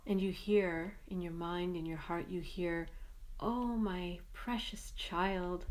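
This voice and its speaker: A woman guides a meditation in a fairly low voice. She speaks very slowly and gently, setting out short phrases with long pauses between them. The delivery is soothing and unhurried. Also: poor audio.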